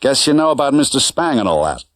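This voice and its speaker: A man's voice: mid-range voice, sing-song, fast talker, no echo, studio quality, voice pushed up, close to an everyday voice. A man voicing a brash, chatty American taxi driver with slangy, familiar patter in an audiobook. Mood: showy, chatty. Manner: slangy, familiar, showy tour-guide patter.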